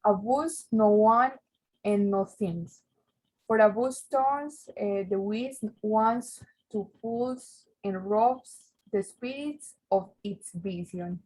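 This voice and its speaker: Slow, hesitant woman reading aloud. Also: mid-range voice, darkish tone, breathy edge, rough recording. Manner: hesitant, stumbles and repeats words. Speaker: language student reading aloud. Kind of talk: halting reading of a text aloud.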